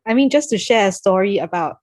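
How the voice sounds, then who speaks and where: A woman's voice: medium pitch, expressive, a little fast, a little mellow. A woman with a Singaporean accent speaking reflectively as a panel moderator on a video call, hesitantly thinking aloud.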